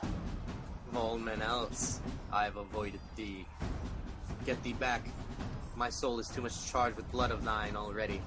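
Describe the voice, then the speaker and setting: A man's voice: fairly low pitch, flat delivery. An actor playing a doomed, haunted tyrant king in a Shakespearean radio drama, declaiming proudly and defiantly. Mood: weary warning. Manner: slow, declamatory verse delivery. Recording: loud dramatic music behind the voice.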